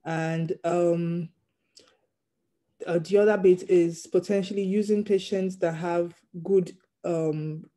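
A non-native English speaker, a doctor in training, talks through interview answers slowly, pausing often with ums. The voice is very dark and mellow, with a notably flat melody. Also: medium pitch. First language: not English. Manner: hesitant, frequent ums, measured. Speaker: GP trainee interviewed about passing an exam.

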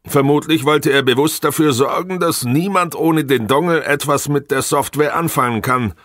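A man's voice: a little high, moderate pace, fairly bright tone, no echo, studio quality, pitched above the performer's own voice, close to an everyday voice. A man voicing an apologetic, defensive male employee explaining himself in an audiobook.

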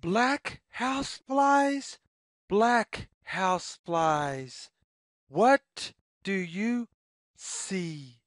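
A woman reading a children's picture book aloud as a storyteller, deliberately and in a sing-song way, pausing between phrases. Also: medium pitch, expressive, no echo, studio quality.

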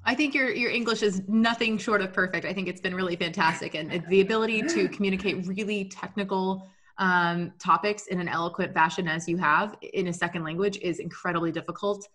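A woman co-hosting an interview podcast over a video call, warmly praising her guest. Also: mid-range voice, fairly quick, slight background noise. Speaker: podcast co-host.

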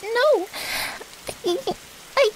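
Vampire's young female servant played by a woman: frail, very high voice, very slow and hesitant, faint rain. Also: animated, a little hiss or hum, heavily acted, low-quality recording. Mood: frightened. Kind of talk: audio roleplay drama acting.

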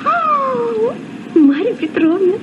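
An actress playing a small, excitable schoolchild with a sweet tooth in an old children's radio play. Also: female voice, extremely high pitch, takes it slowly, exaggerated voice. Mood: excited. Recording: muffled old radio recording, loud background noise.

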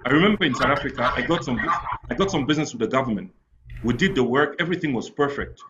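A man's voice: medium pitch, lively intonation, fast talker, a bit bright, slight background noise. A man from Cameroon, an entrepreneur, telling frank stories on a webinar panel and repeating phrases for emphasis. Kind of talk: candid storytelling on a webinar panel. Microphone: video call. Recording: dull lo-fi video call audio.

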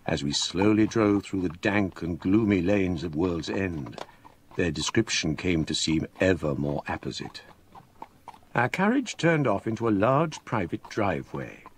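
An actor playing a scholarly English gentleman recounting a ghostly memoir in measured, formal, literary phrases for radio drama. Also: deep voice, lively intonation, slight background noise. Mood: brooding.

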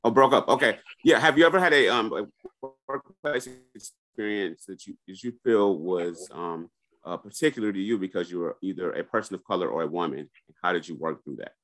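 A man moderating a virtual panel, putting questions in a deliberate, halting manner. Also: low voice, a bit bright. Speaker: seminar host reading questions. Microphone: computer mic on video call.

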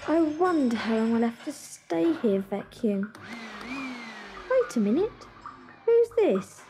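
A woman's voice: a little high, big pitch swings, slow pace, full character voice. A woman playing an eager, polite and earnest young boy in a children's audio story. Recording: noisy cassette with background ambience.

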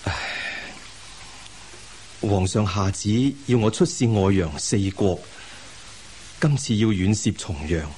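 A man's voice: lowish voice, slow, long pauses, warm tone. A man acting a scholarly middle-aged imperial envoy and placating husband in a Cantonese radio drama, with a Hong Kong accent, sighing and hesitant. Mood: awkward, persuading. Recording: hissy radio drama rebroadcast.

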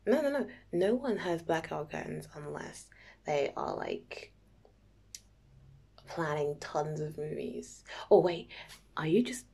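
Woman acting as a nervous young date, breathy, slow-paced, teasing, giggly, intimate ASMR roleplay. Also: low-pitched, huge pitch swings, heavily acted. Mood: casual, teasing. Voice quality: soft, breathy, often dropping to a whisper.

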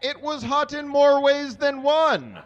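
A man's voice: very high-pitched, slow, highs cut off. A man in his thirties reading a story aloud to an audience into a microphone on a small stage. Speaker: reader at a live comedy show. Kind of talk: dramatic reading of fan fiction to an audience. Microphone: handheld or stand microphone.

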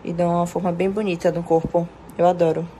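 A young woman talking casually to her fans in selfie phone clips, chatty and direct, soft-spoken and firm when setting limits, often checking in with "tá bom?". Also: lowish voice, flat delivery, fast talker, a little hiss or hum. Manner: chatty, addresses fans as "gente", repeats "tá bom?". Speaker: dancer and influencer talking to fans. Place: bedroom and car, indoors. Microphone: phone at arm's length.

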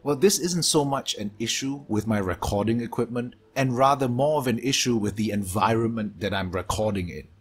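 A man from Singapore with a Singaporean English accent, reading a scripted gaming Q&A voice-over in a steady, measured way from his home hobby room, his s sounds sharp and a low hum behind him. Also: slightly rough, soft background music. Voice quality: strong sibilance, low hum from aquarium pumps. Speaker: gaming YouTuber.

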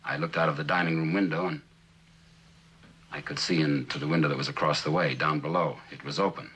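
A reluctant witness in a 1960s television courtroom drama. His voice is extremely low and rough, with a firm, tense edge. He answers carefully, with halting pauses. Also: steady tone, fairly bright tone, exaggerated voice, low-quality recording. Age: middle-aged. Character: guarded, reluctant middle-aged male sculptor on the stand. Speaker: actor as witness.

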